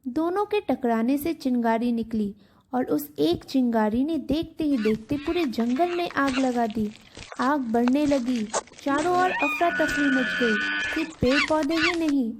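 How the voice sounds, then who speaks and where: A woman's voice: high voice, a little slow, background noise. A woman telling a scripted children's story as a storybook narrator.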